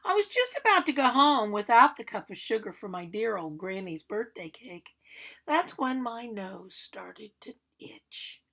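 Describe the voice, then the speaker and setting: A woman's voice: a little high, very animated, unhurried, creaky, fairly bright tone, full character voice. A woman voicing an aggrieved male big bad wolf, telling his own storybook tale in a chatty, theatrical way and protesting his innocence. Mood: self-justifying, dramatic. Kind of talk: animated storybook read-aloud.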